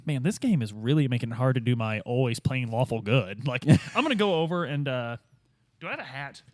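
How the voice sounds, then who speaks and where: A man playing a tabletop roleplaying game, chatting and improvising in an informal, slangy, animated way. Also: mid-range voice, fairly quick, no room sound, clean studio sound. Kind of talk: casual chat and improvised roleplay.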